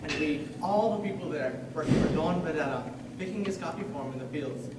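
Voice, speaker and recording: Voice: medium pitch, fairly quick, natural voice. Teen actor as a spirited village boy, echoey. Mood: earnest storytelling. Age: teens. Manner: exuberant, chatty, mixes Spanish into English. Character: spirited, big-mouthed village boy storyteller. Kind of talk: stage play acting. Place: high school stage in a large hall. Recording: echoey hall from far off, very noisy.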